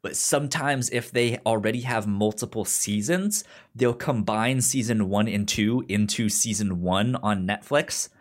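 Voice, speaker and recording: Slow-talking man with a pronounced stutter. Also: lowish voice. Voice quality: pronounced stutter, repeated sounds and syllables. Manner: halting, hesitant, informal. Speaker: podcast co-host. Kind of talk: casual chat, pitching shows to a co-host.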